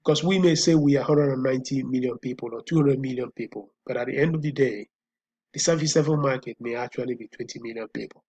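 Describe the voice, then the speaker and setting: A man's voice: medium pitch, quick pace, a little mellow, husky. A man with a Nigerian accent hosting a solo commentary show, thinking aloud with frequent repeats and restarts. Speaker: host.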